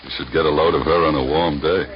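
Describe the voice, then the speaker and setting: A man's voice: rumbling low, fairly even intonation, fast. A man playing a genial, joking prison warden in a 1940s radio drama, with a hearty manner. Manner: hearty, jocular. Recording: noisy, muffled vintage radio recording.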